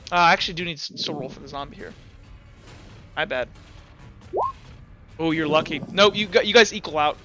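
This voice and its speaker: A man's voice: a little high, fairly quick, pauses often, music in the background. A man running a tabletop game as game master, talking through the rules and dice aloud and often correcting himself. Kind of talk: narrating and refereeing a tabletop game.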